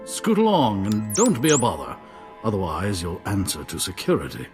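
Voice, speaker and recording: An actor playing a haughty, fussy museum curator in a video game, pompous and dismissive, with a husky voice. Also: male voice, on the low side, big pitch swings, moderate pace, a little mellow, soft background music. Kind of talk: video game cutscene acting.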